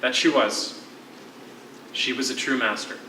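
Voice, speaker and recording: An actor playing a lyrical, wistful former poetry teacher held as a prisoner, speaking with theatrical eloquence in a stage play. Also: male voice, mid-range voice, fairly even intonation, pushed and bright. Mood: earnest, proud. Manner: eloquent, theatrical, measured storytelling. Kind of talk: live one-act stage play. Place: theatre stage, recorded from a distance. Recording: echoey, distant stage recording with faint noise.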